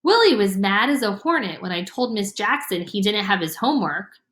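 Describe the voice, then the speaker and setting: A woman's voice: medium pitch, very animated, moderate pace, a bit bright. A woman voicing an earnest, blunt and troubled young country girl in a storybook, with a plaintive, folksy manner. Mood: downcast. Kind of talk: storybook character voice. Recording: roomy, echoing room sound.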